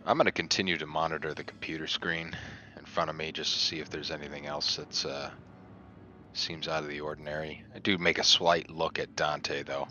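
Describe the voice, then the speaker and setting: Very deep, bright-edged man. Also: flat delivery, a little fast, highs cut off, soft background music. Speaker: tabletop game player. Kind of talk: casual in-game narration of actions.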